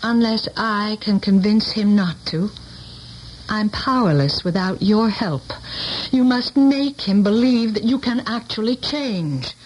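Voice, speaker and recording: A woman's voice: mid-range voice, unhurried, a little mellow, lightly acted. An actress playing an earnest, insistent idealistic woman in a radio drama, speaking articulate American English with dramatic delivery. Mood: urgent, pleading. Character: earnest, intense idealistic woman, a former student. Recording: noisy old radio broadcast.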